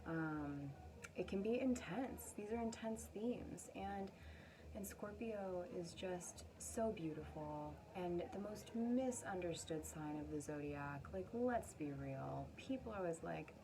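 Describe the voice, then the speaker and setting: A woman's voice: fairly low pitch, noisy background. A woman hosting a casual, chatty card reading, speaking effusively and affirmingly with rambling asides. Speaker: astrologer and tarot reader, channel host.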